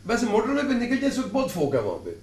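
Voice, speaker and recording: A man's voice: very high-pitched, huge pitch swings, fast talker, a little mellow, roomy sound. A man on a TV studio show, joking and teasing in playful banter. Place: TV studio set.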